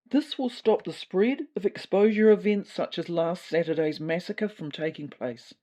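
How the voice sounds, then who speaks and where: A woman as a mellow, earnest official tomcat. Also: on the low side, lightly acted. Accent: New Zealand. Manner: formal, bureaucratic, earnest. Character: earnest, tentative male beige cat, official explainer.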